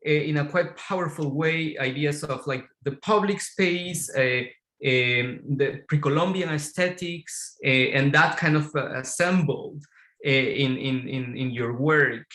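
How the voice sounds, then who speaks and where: Higher-pitched man moderating, hesitant. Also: pushed and bright. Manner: hesitant, pausing between phrases. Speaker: panel moderator. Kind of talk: spontaneous academic moderating.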